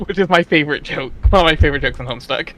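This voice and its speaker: A man's voice: a little high, rapid-fire, bright, forceful tone. A man chatting casually and slangily, with some swearing, as co-reader and commentator during a group reading.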